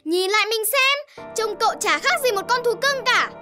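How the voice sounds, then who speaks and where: Cocky stray cat in a cartoon, real high sharp voice, bossy n teasing, sure of itself. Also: animated, normal speed, exaggerated voice. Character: cocky, street-smart young stray cat, teasing mentor. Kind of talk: animated cartoon dubbing. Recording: faint music behind the voice.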